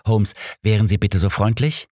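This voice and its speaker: A man voicing a genteel, easily flustered older gentleman in an audiobook. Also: on the low side, steady tone, normal speed, no echo, clean studio sound, voice pushed up, natural voice.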